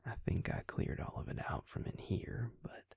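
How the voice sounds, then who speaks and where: A man playing a calm, protective vampire in an intimate audio roleplay, speaking gently and measuredly in a breathy, gravelly near-whisper. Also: moderate pace, no room sound, studio quality, lightly acted. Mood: cautioning. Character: calm, protective male vampire explaining lore to a werewolf. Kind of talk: intimate audio roleplay, slow hushed delivery.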